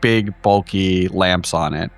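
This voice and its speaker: A man's voice: low voice, takes it slowly. A man playing a tabletop roleplaying game, chatting casually and explaining his ideas informally. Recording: music behind the voice. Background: background music.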